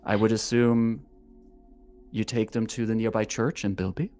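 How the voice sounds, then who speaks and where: A man narrating a tabletop roleplaying game as game master, with deliberate, evocative storytelling. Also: fairly low pitch, level tone, dark tone, faint music behind.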